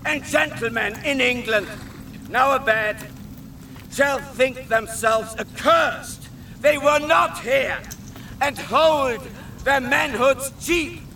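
Rousing king, very high raised male voice, super slow emphatic declaiming, faint noise, distant. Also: sharp tone, full character voice. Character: rousing warrior king addressing his troops. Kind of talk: declaimed Shakespearean battle speech.

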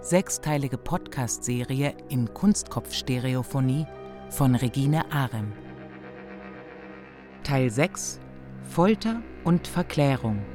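A man's voice: medium pitch, animated, slow. A man reading scripted title announcements for a radio drama as its announcer. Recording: music behind the voice.

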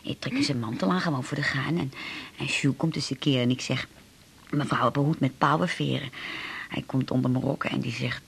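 A woman's voice: extremely low pitch, fairly quick, creaky, husky, heavily acted. A woman playing a chatty, down-to-earth working-class former chambermaid in a radio drama, telling stories in an earthy, effusive, rambling way. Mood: animated, rueful.

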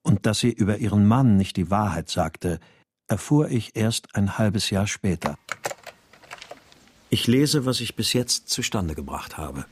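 Low-voiced, brooding male writer. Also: expressive, measured pace, noisy background. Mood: reflective. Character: troubled male writer recounting his daughter's disappearance, brooding, weary. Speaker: narrator and lead character. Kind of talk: radio drama, introspective first-person narration and dialogue.

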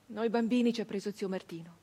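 An actress playing a grieving, traumatized 19th-century peasant woman in a theatrical radio monologue, halting and intense, with breathy speech that can sink toward a whisper. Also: mid-range voice, warm tone, lightly acted. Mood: mournful, subdued. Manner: halting, intense, repeating phrases obsessively.

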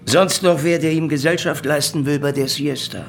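An actor playing a cynical, sardonic, world-weary private detective in a radio play. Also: male voice, on the high side, moderate pace, vocal fry. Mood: taunting, sardonic. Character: cynical, hard-boiled male private detective, tough and sardonic. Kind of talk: hard-boiled radio play narration and dialogue. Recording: music behind the voice.

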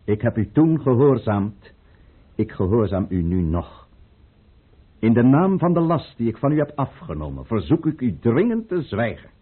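An actor playing a proud, resolute conspirator count in a radio drama, with grave, commanding, theatrical delivery. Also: male voice, mid-range voice, big pitch swings, normal speed, husky. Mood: grave, insistent. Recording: muffled, narrow-band old radio play recording.

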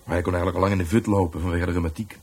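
An actor playing an easygoing, patient police sergeant in a radio drama, putting questions in an informal way. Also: male voice, low voice, steady tone, rapid-fire, a little mellow, breathy edge. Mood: probing, calm. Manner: informal, probing.

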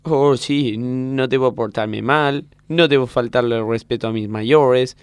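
A man's voice: mid-range voice, unhurried, smooth, no echo. An Argentine man bantering informally in slang while recording comedy lines, prone to giggling. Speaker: comedy group performer.

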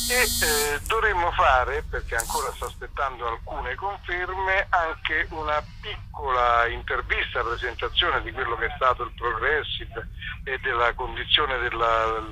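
Very bright, rambling man on the phone. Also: medium pitch. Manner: rambling, hesitant, wry and self-deprecating. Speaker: event organiser, interview guest. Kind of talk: casual phone interview, informal explaining. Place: remote phone call to a radio show. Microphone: telephone. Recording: noisy telephone line.

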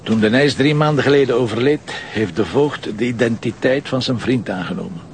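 A Flemish male detective played by an actor in a crime drama. He speaks at an average pitch, terse and wry, with little patience for fuss. Some background noise and rumble sit behind the voice. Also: a little fast, close to an everyday voice. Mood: explaining. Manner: terse, dry, impatient. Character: blunt, wry male deputy police commissioner. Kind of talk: naturalistic crime drama acting.

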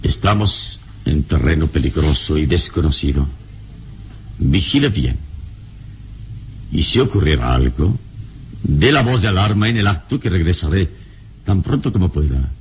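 An actor playing a calm, commanding adventurer hero in a radio drama, speaking with measured, reassuring authority. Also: male voice, deep voice, slight background noise. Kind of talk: radionovela acting.

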